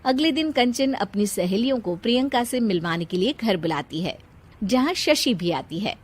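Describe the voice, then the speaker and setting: Female narrator for scripted moral tales, voice a little higher than average. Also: lively intonation, moderate pace, darkish tone, breathy edge.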